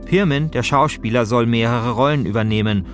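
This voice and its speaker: A man's voice: mid-range voice, brisk. A man hosting with scripted documentary-style narration. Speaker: host, narrator. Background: music.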